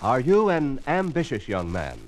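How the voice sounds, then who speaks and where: Man, American, vintage radio announcer, formal, emphatic, lively intonation, music behind. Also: mid-range voice, moderate pace. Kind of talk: 1950s radio announcing, scripted promotional narration. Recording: vintage 1950s radio transcription.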